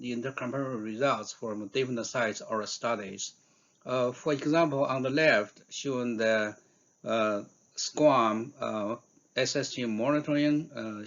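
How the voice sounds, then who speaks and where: Halting man presenting research, dull audio. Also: medium pitch. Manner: halting, hesitant delivery with frequent fillers. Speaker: researcher giving invited talk. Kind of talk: scientific conference presentation.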